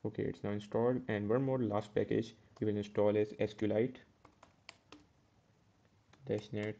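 A man's voice: fairly low pitch, level tone, moderate pace, gravelly, mellow, dull audio. A man teaching a step-by-step coding tutorial over a screen recording, correcting himself as he goes. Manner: step-by-step, self-correcting with "uh" and "okay". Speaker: programming tutor.

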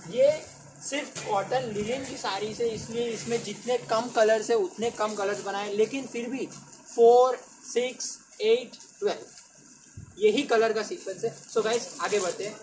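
A man's voice: high-pitched, steady tone, a little slow, background noise. A young man vlogging casually from a small textile shop, showing sarees to viewers in a chatty, joking way. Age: young adult. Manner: chatty, jokey, addresses viewers as guys. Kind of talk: casual product showcase.

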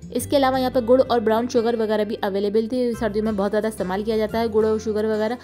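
A woman with a Pakistani Urdu accent, narrating a store-tour vlog and listing prices in a steady, informative voice. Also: fairly high pitch, fast. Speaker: shopping vlogger. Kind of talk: vlog voice-over. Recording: voice-over with faint music behind.

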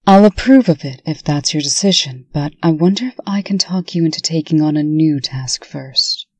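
A woman voicing a reserved, authoritative fairy-tale princess in a comic dub, with measured, formal delivery. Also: low-pitched, lively intonation, slightly rough, no room sound. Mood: businesslike.

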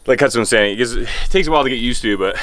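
A relaxed, upbeat man in his thirties talks through a home workout as a fitness coach, tossing in an easygoing "baby" now and then. His voice sits at a middle pitch and is recorded close and dry, with no room sound around it. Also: racing, no room sound. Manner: laid-back, enthusiastic, says "baby". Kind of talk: workout voice-over instruction. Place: outdoor home gym by a garage.

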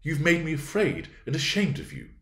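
A man voicing a genteel young gentleman suitor, gentle and subdued, in an audiobook reading of a classic novel. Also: mid-range voice, big pitch swings, a little fast, roomy sound, pitched above the performer's own voice, natural voice. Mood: wounded, subdued.

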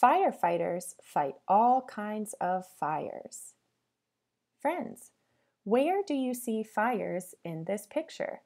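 A woman teacher reading a storybook aloud to young children, with a sing-song delivery, pausing to put questions to them. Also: medium pitch, big pitch swings, creaky, a little mellow.

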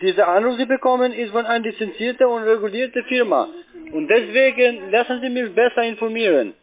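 Male cold caller, non-native speaker, unusually high voice, tinny line. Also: brisk. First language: not German. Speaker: telemarketer. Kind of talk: phone sales pitch. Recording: tinny narrow phone line.

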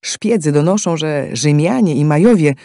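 A man with a soft, dark tone and fairly high pitch narrates a fantasy game story. He is solemn and measured, recorded close and dry. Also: expressive, no room sound. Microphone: close studio microphone.

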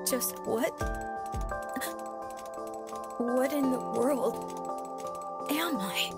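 A woman's voice: high voice, drawn-out words, over loud music. A woman voicing an earnest young robot boy in an anime-style game, brave but anxious, with dramatic delivery. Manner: dramatic, hesitant inner monologue and outbursts.

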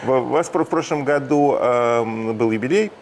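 A man's voice: mid-range voice, unhurried, a little hiss or hum. A man hosting an interview, asking questions in a chatty way and groping for words with fillers. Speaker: interviewer, host.

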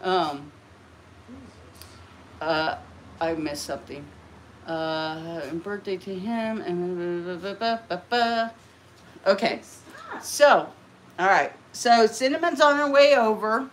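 A woman's voice: mid-range voice, takes it slowly, pauses often, slight background noise. A middle-aged woman hosting a casual livestream from her living room, chatty and rambling, often laughing. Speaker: livestream host. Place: living room, green party decorations.

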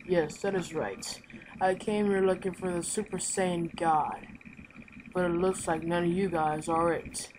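Woman doing a bored haughty cat god guy for a game roleplay, slow talker, bit of background noise. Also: on the low side, steady tone, natural voice. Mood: bored, dismissive. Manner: imperious, dismissive, unhurried. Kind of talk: amateur video game roleplay.

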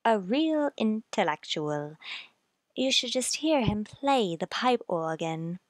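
A woman's voice: mid-range voice, very animated, slow pace, dry recording. A woman voicing a pushy, gushing matchmaking society matron in an old-time radio play. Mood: gushing, scheming.

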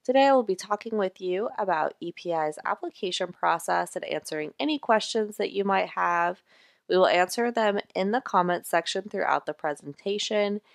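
A woman's voice: medium pitch, gravelly, no echo. American woman presenting, close and dry. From mountains of North Carolina, USA. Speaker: webinar host, communications director. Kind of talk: scripted webinar presentation.

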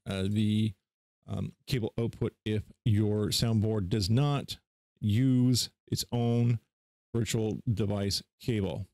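A man casually talking through software setup steps in an informal, unscripted tutorial style. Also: fairly low pitch, no room sound. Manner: informal, instructional, step by step. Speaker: tutorial host. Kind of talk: casual unscripted screen-share software walkthrough.

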